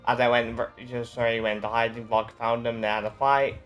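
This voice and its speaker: A man's voice: medium pitch, flat delivery, fairly quick, fairly bright tone. Man rambling wryly over game music. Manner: rambling, wry. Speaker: video game streamer. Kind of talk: casual off-the-cuff game commentary.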